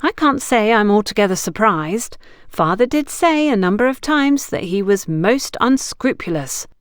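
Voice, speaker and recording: A woman's voice: medium pitch, expressive, normal speed, clean tone, dry recording, studio quality, voice pushed up, natural voice. A woman voicing a troubled, needy, impulsive young woman in audiobook dialogue, with an emphatic, dramatic delivery. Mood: curious.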